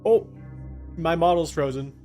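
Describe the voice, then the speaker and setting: A man's voice: on the high side, unhurried, a little mellow. Casual man livestreaming, music behind. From Canada. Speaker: game livestreamer using an avatar. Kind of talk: casual livestream chat, thinking aloud.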